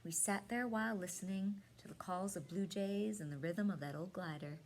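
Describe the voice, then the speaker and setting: A woman reading a storybook aloud expressively, with dramatic pauses and hushed emphasis. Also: mid-range voice, a little hiss or hum. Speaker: storybook reader.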